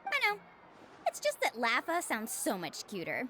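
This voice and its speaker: Game role: young female guide, high animated voice, breezy, sure of herself, music underneath. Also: moderate pace, full character voice. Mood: upbeat. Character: confident, friendly young female tour guide. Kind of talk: video game voice acting.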